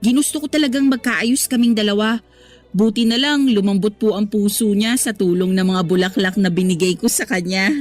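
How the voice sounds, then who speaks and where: An actress playing a cheerful, respectful female store employee with a Filipino accent, polite and expressive in a radio drama. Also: medium pitch, a little fast, soft background music, close to an everyday voice. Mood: sincere, respectful. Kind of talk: Tagalog radio drama acting, conversational.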